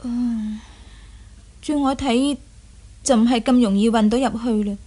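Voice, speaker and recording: High-voiced shrewd young heroine. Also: female voice, fairly even intonation, a little slow, dark tone. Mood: scheming. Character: shrewd, teasing, imperious young heroine woman. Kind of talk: Cantonese radio drama acting.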